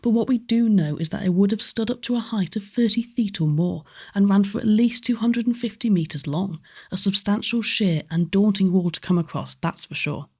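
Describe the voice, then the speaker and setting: A woman's voice: medium pitch, normal speed, hardly any pauses, warm tone, breathy edge. Woman narrating history. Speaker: history tour presenter.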